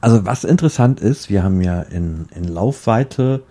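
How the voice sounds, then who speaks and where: A man chatting casually in a rambling, halting way, often repeating words as he searches for the next one. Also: fairly low pitch, animated, mellow.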